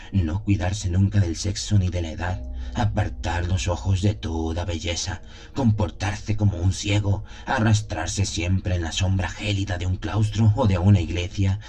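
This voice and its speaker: Man playing tormented young seminarian in love, very low voice, solemn theatrical radio drama narration, brooding, music underneath. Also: fairly even intonation, a little slow, darkish tone. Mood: brooding anguish. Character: anguished young lovesick seminarian recalling his torment.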